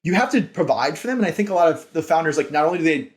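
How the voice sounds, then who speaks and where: A man with a slightly high-pitched voice talks as a podcast guest. He is informal and enthusiastic, explaining things in a casual, emphatic interview style. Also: expressive, racing. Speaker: podcast guest, company founder and CEO.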